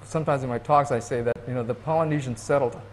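A man from Hawaii, a guest in an interview, speaking thoughtfully and haltingly with many restarts. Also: medium pitch, slight background noise, poor audio. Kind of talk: reflective interview talk.

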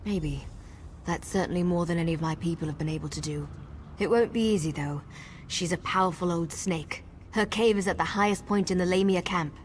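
Actress as a guarded low-voiced elf scout woman. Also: brisk, natural voice. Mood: earnest, instructive. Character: cautious, determined female wood elf scout. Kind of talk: video game dialogue acting. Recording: game dialogue over clear ambient noise.

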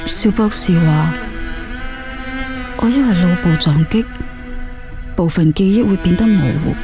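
Gentle, bewildered young nurse played by a woman, radio drama, polite and wondering, clear music bed. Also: lowish voice, a little slow, lightly acted. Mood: tearful, wondering. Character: gentle, confused young nurse with faded memory. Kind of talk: emotional radio drama acting. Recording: music behind the voice. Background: dramatic background music.

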